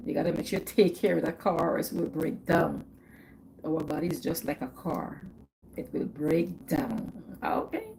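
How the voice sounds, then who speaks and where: A woman's voice: on the low side, creaky, darkish tone, slight background noise, low-quality recording. A middle-aged woman with a Guyanese accent, chatting casually on her livestream, repeating herself and laughing often. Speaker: livestream host.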